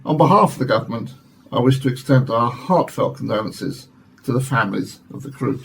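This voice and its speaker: An actor playing a grave defence minister making a formal, measured parliamentary statement in a radio play, with a gravelly voice. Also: male voice, medium pitch, animated, fairly bright tone, a little hiss or hum.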